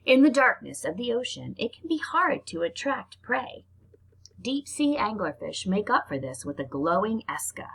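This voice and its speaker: A woman reads nonfiction aloud in an expressive, informal way, like reading a book to children. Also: medium pitch, moderate pace, gravelly, husky, rough recording. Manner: expressive, informal reactions between passages. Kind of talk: read-aloud narration with casual spoken asides.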